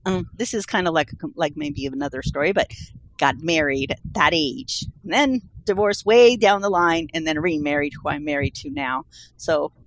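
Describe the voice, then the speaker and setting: A woman's voice: low voice, moderate pace, rough recording. A woman from Colombia, Spanish her first language, chatting casually and rambling through her story. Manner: rambling, restarts often, laughs easily. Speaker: guest. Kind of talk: casual chat.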